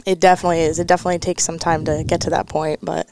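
College-age female athlete guest, fast-talking, a little fry, faint hiss behind. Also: low-pitched. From New Hampshire. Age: young adult. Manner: relaxed, hesitant, many likes and ums. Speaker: college softball catcher. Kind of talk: interview answers.

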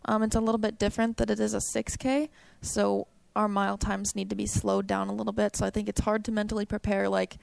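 A young woman answers questions about her running with a gravelly, creaky voice. Her pitch stays flat and even while she chats on easily. The room sounds dry and close. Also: fast talker, no echo. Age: young adult. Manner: chatty, upbeat, frequent "um" and "like". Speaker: college cross country runner. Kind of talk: interview answers.

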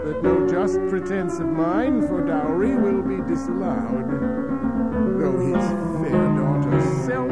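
Slow, expressive woman reciting over piano. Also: medium pitch, low-quality recording. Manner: measured, theatrical. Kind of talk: dramatic poetry recitation. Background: prominent piano music.

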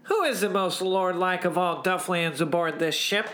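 Pompous male alien commander, very high and piercingly sharp, vain and bullying, comic sci-fi story. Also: normal speed. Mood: imperious. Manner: pompous, imperious, declamatory.

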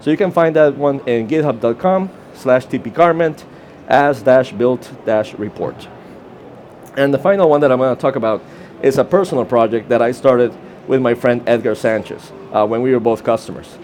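A man's voice: mid-range voice, moderate pace, a little mellow, slight background noise. A man with a Costa Rican Spanish accent gives a short, informal conference talk, with fillers and restarts. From Costa Rica. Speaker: conference presenter. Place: conference session room.

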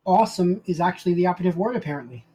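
A woman's voice: on the low side, animated, very fast, warm tone, husky, low-quality recording. A woman who plays in a tabletop game, chatting casually in brief interjections. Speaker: player in a tabletop role-playing game.

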